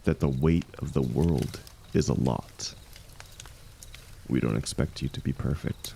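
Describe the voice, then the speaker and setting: A man playing an aging, wounded warrior, the hero's loyal and gentle shield, speaking softly and haltingly in an intimate audio roleplay. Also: a bass voice, vocal fry, slight background noise, full character voice. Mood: gentle, reassuring. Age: older. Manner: soft, halting, confiding, reassuring.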